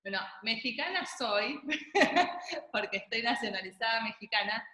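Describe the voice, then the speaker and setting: A woman's voice: on the high side, animated, measured pace, pushed and bright, roomy sound. A woman, chatting on a video call as an interview guest, easygoing and full of small hesitations. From Argentina. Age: 40s. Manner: chatty, laughs easily, many small hesitations. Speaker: guest, Montessori school founder.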